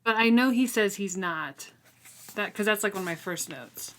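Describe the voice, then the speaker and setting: A woman's voice: mid-range voice, normal speed, fairly bright tone. A woman co-hosting a casual book discussion, informal and somewhat hesitant as she thinks aloud. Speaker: podcast co-host.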